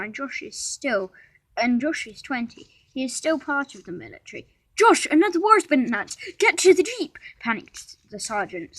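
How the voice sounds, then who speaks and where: A female-sounding amateur storyteller reading a homemade story aloud, halting, with restarts and informal asides to listeners. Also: mid-range voice, slightly rough, low-quality recording.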